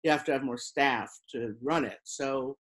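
A man's voice: medium pitch, normal speed, fairly bright tone. A middle-aged man interviewing a guest on a video call from a room at home, asking plain conversational questions. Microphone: laptop camera on a video call.

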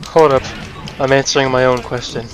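A man's voice: mid-range voice, level tone, brisk. A man co-commentating on a game with casual, teasing, profane banter. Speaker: let's play co-commentator. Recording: game music behind. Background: fighting game music.

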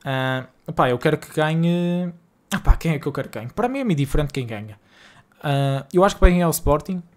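A man's voice: a little high, expressive, brisk. A young man from Portugal with a northern Porto accent, streaming a game live and chatting casually with viewers in slangy, exasperated banter. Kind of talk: live-stream gaming chat. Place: home streaming room.

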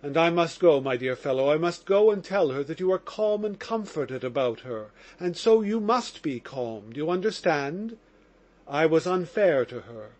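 A man voicing a good-natured, fatherly older police captain who talks in an excited, coaxing way. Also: on the high side, normal speed, lightly acted. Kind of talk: audiobook character reading.

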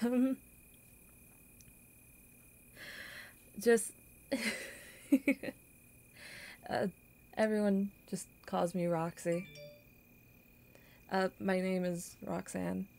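A young woman playing a kind, awkward popular girl in an audio roleplay, with halting, stammering, flustered delivery. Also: mid-range voice, darkish tone. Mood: flustered, amused, then awkward, friendly. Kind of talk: intimate audio roleplay acting.

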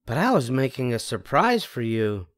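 Old granny pig, acted by a man, storybook, loving, playful, light raised voice, animated intonation, dry sound. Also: mid-range voice, normal speed, no echo, close to an everyday voice. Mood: playful, inviting.

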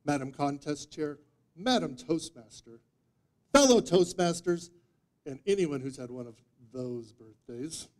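A man in his fifties giving a humorous storytelling speech, with theatrical deadpan delivery and dramatic pauses. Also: fairly high pitch. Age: 50s. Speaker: speech contest speaker. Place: meeting room before a live audience, indoors. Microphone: distant audience camera microphone.

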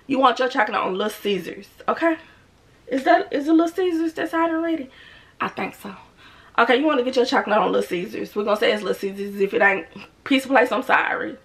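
A woman's voice: a little high, creaky, fairly bright tone. A young woman chatting casually to her viewers in a cook-with-me video at home, playful and talking straight to them. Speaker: cooking vlogger. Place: home room, indoors.

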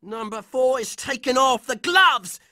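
A man's voice: very high-pitched, expressive, measured pace, pushed and bright, no echo, studio quality, full character voice. A man voicing a brash, hot-headed young boy fighter in a cartoon video game, belligerent and exclamatory. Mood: combative.

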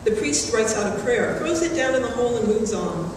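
A middle-aged woman delivering a prepared graduation speech in a measured, earnest way at a podium microphone in a large hall. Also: fairly high pitch, lively intonation, a little mellow. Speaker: graduating student class speaker. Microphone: podium microphone, recorded from the audience. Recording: very echoey hall, distant, background noise.

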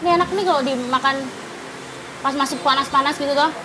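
A woman's voice: high-pitched, fast, noisy background. A young woman hosting a food show, chatting with enthusiasm as she tastes dishes and talks to viewers. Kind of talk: casual food review chat. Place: open-sided restaurant hut. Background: background music.

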